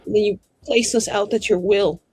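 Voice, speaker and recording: The resentful, defiant mage of a fantasy roleplaying stream, played by someone of unclear sex, very husky, biting off each deliberate grievance over faint guitar. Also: medium pitch, steady tone, a little mellow, lightly acted. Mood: bitter, resentful. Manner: clipped, deliberate, cutting. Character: bitter, proud, defiant mage resenting confinement. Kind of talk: in-character improvised dramatic dialogue.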